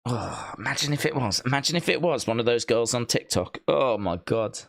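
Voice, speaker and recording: A man hosting a casual livestream, chatting to viewers in a rambling, joking way with deadpan asides. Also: mid-range voice, a little fast, gravelly. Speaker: livestream host. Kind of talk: casual watch-along chat, reading viewer comments.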